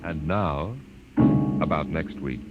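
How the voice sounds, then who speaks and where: A man's voice: rumbling low, slow pace. A man hosting a 1950s radio show with ominous, portentous dramatic narration. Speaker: host. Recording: dull 1950s radio recording. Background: loud piano music.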